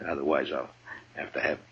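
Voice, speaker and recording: An actor playing a tough, weary, terse frontier marshal with an American accent in a 1950s radio western. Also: male voice, a bass voice, a bit bright, husky, full character voice. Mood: gently amused. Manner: terse, commanding, sardonic. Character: tough, weary Old West frontier marshal, dryly wry. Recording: dull 1950s radio broadcast recording.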